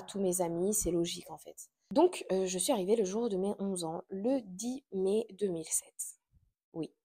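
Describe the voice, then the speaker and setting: A woman's voice: lowish voice, warm tone, slightly rough. A woman in her late twenties, born and raised in Portugal, hosting a casual podcast and talking chattily and confidingly, with frequent fillers and little laughs. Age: late 20s. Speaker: podcast host. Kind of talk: casual personal chat to camera.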